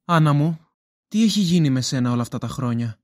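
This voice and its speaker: A man's voice: fairly high pitch, lively intonation, darkish tone, dry recording, studio quality, natural voice. A man acting in an audio drama as an affectionate, eager husband-like figure who speaks tenderly and coaxingly. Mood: tender, proud.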